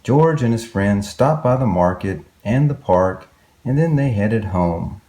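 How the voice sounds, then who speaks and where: A man's voice: fairly low pitch, lively intonation, a little slow, dark tone. A man reading a children's story aloud expressively, with exclamations and wondering asides to young listeners.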